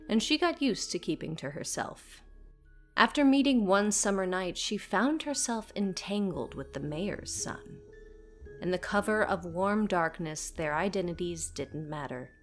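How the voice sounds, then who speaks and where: A woman narrating a scripted horror story as a storyteller, dramatic and deliberate, dropping at times toward a whisper. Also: on the low side.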